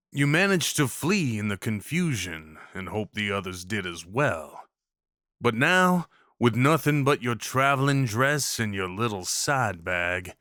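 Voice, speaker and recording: A man's voice: fairly low pitch, sharp tone, no echo, studio quality. A man narrating a scripted story, addressing the listener as its main character. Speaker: narrator and voice actor.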